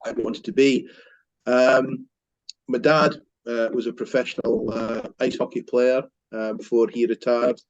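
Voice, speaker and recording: A man's voice: mid-range voice, level tone, unhurried, no room sound, muffled recording. A Scottish man in his fifties, a mortgage broker telling his career story in an interview, with frequent ums and restarts. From Scotland. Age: 50s. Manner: hesitant, many fillers and restarts. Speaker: mortgage broker, company director. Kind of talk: business interview, casual storytelling.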